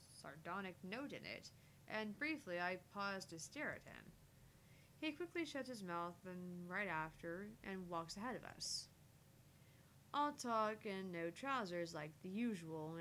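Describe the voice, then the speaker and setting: A woman's voice: on the low side, a bit bright, a little hiss or hum, poor audio. A woman streamer reading a visual novel aloud in first-person narration with casual asides.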